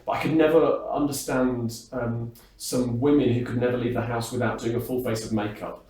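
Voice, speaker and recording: A man's voice: mid-range voice, flat delivery, normal speed, darkish tone. A British man being interviewed backstage, talking casually in a chatty, self-deprecating and rambling way with frequent hesitations. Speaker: interviewed singer and entertainer. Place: backstage at a music hall. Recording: echoey room, distant microphone.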